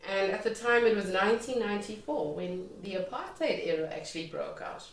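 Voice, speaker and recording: A woman's voice: medium pitch, expressive, fairly quick. Echoey woman. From Lesotho and South Africa. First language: Sotho. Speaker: interview guest, music and poetry artist. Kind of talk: conversational life-story interview. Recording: echoey room, distant microphone.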